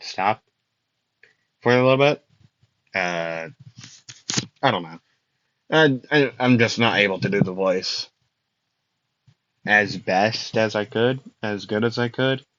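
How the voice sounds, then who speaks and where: A man's voice: lowish voice, fairly bright tone, no echo, highs cut off. A young man casually telling a fan-made anime story, rambling with fillers and frequent laughs, his voice getting worn out. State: losing his voice.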